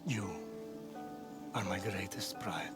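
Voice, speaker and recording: A man's voice: deep voice, steady tone, takes it slowly, over loud music. An actor playing a proud, tender father in an ancient Greek video game. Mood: tender pride. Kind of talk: dramatic video game acting.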